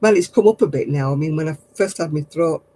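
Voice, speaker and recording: An older woman chatting casually on her craft livestream, answering viewers in a low, husky voice and a rambling, gossipy way. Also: quick pace, mellow. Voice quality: low, husky voice after throat surgery.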